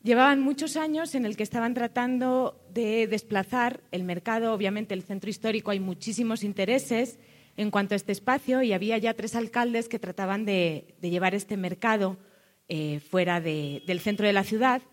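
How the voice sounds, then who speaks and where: A woman from Spain with a Spanish accent addresses a panel audience about her cultural work. Her voice sits in a middle register, and she talks in a considered way. She stops on fillers and checks in with a questioning "no?" at the end of her points. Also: medium pitch. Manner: thoughtful, pauses on fillers, often ends points with a questioning "no?". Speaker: cultural manager and public official presenting a project.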